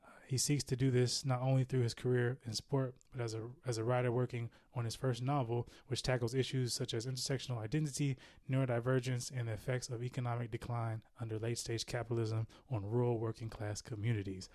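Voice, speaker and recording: A man's voice: medium pitch, flat delivery, fast, few pauses, a little mellow. A man hosting a podcast, introducing his guest in an upbeat, conversational way and reading out the guest's bio. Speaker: podcast host. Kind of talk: podcast introduction and casual chat.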